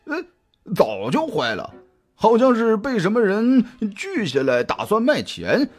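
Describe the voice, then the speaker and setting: A man acts out an easygoing private detective in an audio drama. The voice is husky and a little high, swinging widely in pitch as he chats in a halting, colloquial way. Music plays underneath. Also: wildly swooping pitch, heavily acted. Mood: casual, explaining. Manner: halting, colloquial, with drawn-out hesitations. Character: jovial, chatty male private detective, easygoing friend. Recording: music behind the voice.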